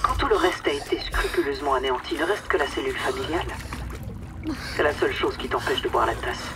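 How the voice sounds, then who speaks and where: A woman's voice: rumbling low, fast talker. An actress playing a falsely affectionate, condescending woman boss in a darkly comic radio drama, with a theatrical, mockingly sweet manner. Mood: sweetly cruel. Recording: distant microphone, clear watery background noise.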